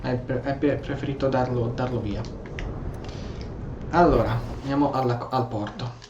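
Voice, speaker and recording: A man's voice: medium pitch, steady tone, moderate pace, muffled recording, background noise. A man with an Italian accent giving casual, role-play gameplay commentary, stammering and repeating his words. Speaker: gamer, let's play host. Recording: echoey room, game music behind. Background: video game music.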